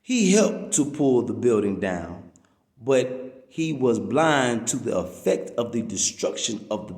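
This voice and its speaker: A man's voice: medium pitch, darkish tone, reverberant. A man reading a written message aloud in an emphatic, preaching way, drawing out the words he stresses. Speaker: reader reading a text aloud. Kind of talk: read-aloud of a religious-political message, preaching delivery.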